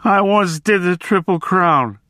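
A man's voice: high-pitched, a little slow, bright, forceful tone, dry recording, studio quality, pitched above the performer's own voice. A man voicing a pompous, drunken magazine correspondent who declaims with grandiose authority. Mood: grandiose, sodden.

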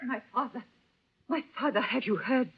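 Timid young princess, anxious, husky-breathy voice, tinny old-time radio recording. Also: female voice, on the high side, normal speed. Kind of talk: vintage radio drama acting.

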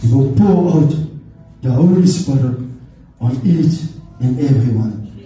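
A man's voice: medium pitch, expressive, unhurried, warm tone, faint music behind. A middle-aged man, a church elder, giving an earnest sermon with frequent amens, recorded from far off in a hall. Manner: earnest exhortation with frequent "amen" interjections. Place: stage in a church hall, indoors. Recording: muffled, echoey hall, distant from camera.

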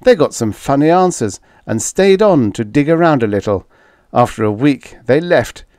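Man playing a blunt, sardonic diplomat with animated delivery. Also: mid-range voice, normal speed, dry recording, clean studio sound, pitched above the performer's own voice, close to an everyday voice. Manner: snapping, pressing, sardonic. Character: tough, sarcastic male diplomat, blunt and accusing. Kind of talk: audiobook character dialogue.

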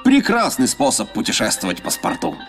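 Lilting, unflappable gentleman adventurer. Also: mid-range voice, huge pitch swings, brisk. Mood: calm, pleased. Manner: courteous, composed, refined. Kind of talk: dubbed cartoon acting. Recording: old cartoon dub with loud music.

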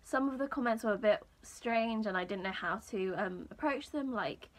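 A young woman vlogger with a high register and a croaky voice, chatting casually to camera in a small room, with lots of ums. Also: normal speed. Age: young adult. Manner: chatty, many ums, relaxed storytelling.

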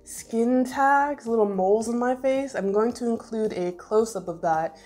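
A young woman talks straight to the camera in a chatty vlog, her voice still a little congested. She sounds animated, with light music underneath. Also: on the high side, measured pace. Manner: chatty, animated, addresses viewers directly. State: slightly congested, recovering voice. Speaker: beauty vlogger. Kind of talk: casual vlog tour narration. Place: indoor room at home, talking to camera.

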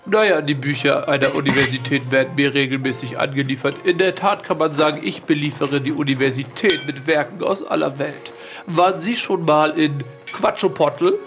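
A man plays a smug young lord in a role-play audio drama. His voice is fairly high and politely mocking. Music plays clearly behind him. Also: a little fast, close to an everyday voice.

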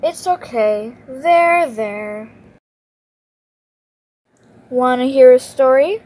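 Woman as matter-of-fact cartoon cat girl, high and slightly husky, blunt storyteller. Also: animated, drawn-out words, darkish tone, no echo, heavily acted, poor audio. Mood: soothing. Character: calm, blunt tomboy cat girl telling stories.

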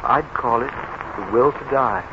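An actor playing a grave, concerned family doctor in 1930s radio drama. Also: male voice, medium pitch, a little slow, close to an everyday voice. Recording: muffled, noisy 1930s radio recording.

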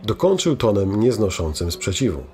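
A man's voice: lowish voice, big pitch swings, moderate pace, a little mellow. A man narrating a scripted fantasy audio drama as its storyteller. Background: music behind the voice.

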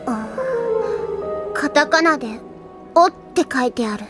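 A woman's voice: sits high, slow pace, music in the background. A woman playing a bossy, self-important teenage girl detective in an anime audio drama, commanding and self-assured. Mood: grave, assured.

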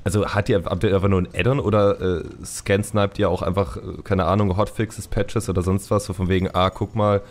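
A man's voice: deep voice, level tone, a little fast, hardly any pauses. A man hosting a casual podcast chat, talking in a wry, joking way. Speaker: podcast host.

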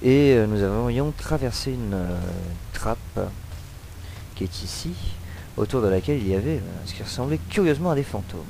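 A man's voice: mid-range voice, animated, moderate pace, dark tone, slight background noise. A man with a cold giving rambling, hesitant commentary while playing a video game. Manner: rambling, hesitant, many fillers. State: has a cold. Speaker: let's play commentator. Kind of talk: casual gameplay commentary.

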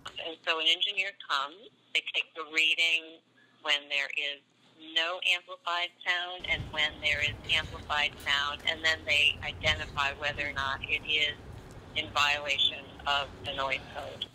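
A woman explains matter-of-factly in a very bright, flat voice. She is heard over the phone with faint noise behind. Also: low-pitched, unhurried, rough recording. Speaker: noise specialist. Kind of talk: phone interview. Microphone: telephone.

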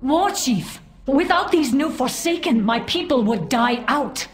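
Undead banshee queen, fairly high voice, proud, cold, haughty, game drama, faint music. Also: lively intonation, unhurried. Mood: cool, defiant. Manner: haughty, defiant. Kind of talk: dramatic video game acting.

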